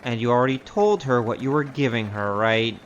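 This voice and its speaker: A man's voice: medium pitch, normal speed, lo-fi sound, a little hiss or hum, lightly acted. A male actor playing a roguish, wry storyteller with a grandiose, theatrical manner in a comic audio drama. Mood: wry bargaining. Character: roguish, boastful storyteller, wry poor adventurer. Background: music.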